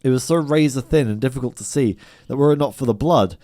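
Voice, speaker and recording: A man's voice: mid-range voice, fast talker, warm tone, no echo, studio quality. A man streaming a visual novel, reading it aloud theatrically and adding casual commentary. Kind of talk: live narration with casual commentary. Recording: game music behind the voice. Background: video game music.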